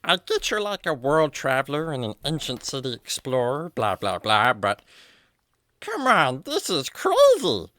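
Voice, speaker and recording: A woman's voice: very deep voice, wildly swooping pitch, a little slow, fairly bright tone, no room sound, exaggerated voice. A woman voicing a naive, curious young animal sidekick in a children's radio drama, with wide-eyed, slangy exclamations. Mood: amazed.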